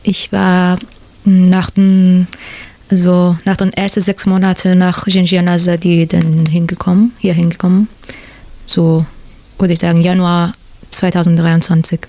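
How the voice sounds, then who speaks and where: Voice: mid-range voice, completely flat delivery, unhurried, dark tone, no room sound. A native Persian speaker from Iran with a Persian accent, a guest in a radio discussion who searches for words. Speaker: interview guest. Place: radio studio.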